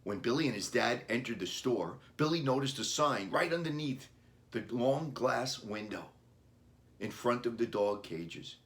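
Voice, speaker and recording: A man narrating a children's storybook as its author, with deliberate phrasing broken into short chunks. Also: mid-range voice, lively intonation, a bit bright. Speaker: author reading his children's book.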